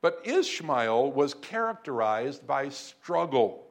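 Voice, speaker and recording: A man preaching a sermon to a congregation in a room, speaking in a measured way with emphatic lifts on key points. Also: mid-range voice, sing-song. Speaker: pastor. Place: church room in Beijing.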